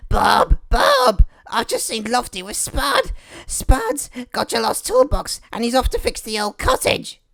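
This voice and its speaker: A man's voice: very high-pitched, wildly swooping pitch, slow, creaky, hardly any pauses, bright, forceful tone, no echo, studio quality, voice pushed up, heavily acted. A man voicing a fretful, hard-working scarecrow from a children's show. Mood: excited, breathless.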